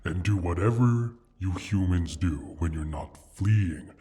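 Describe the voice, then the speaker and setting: A man voicing a proud, sly male dragon in an ASMR fantasy roleplay, speaking in a deliberate, formal, teasing way with a husky, breathy rumble. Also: warm tone. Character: injured male dragon, proud, sly, possessive of his hoard.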